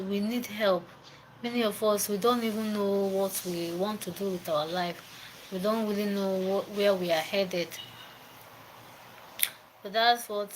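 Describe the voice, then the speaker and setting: Young woman, Nigerian accent, casual live-stream chat, mid-pitched voice, faint hiss behind. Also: a bit bright, low-quality recording. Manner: chatty, repeats herself, greets viewers by name. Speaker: livestreamer.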